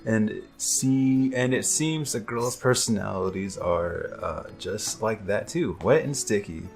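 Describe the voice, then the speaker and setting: A man's voice: fairly low pitch, expressive, takes it slowly, creaky. A young man chatting casually and reading aloud as a co-host, with a joking, irreverent manner. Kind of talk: casual comedic chat. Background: music in the background.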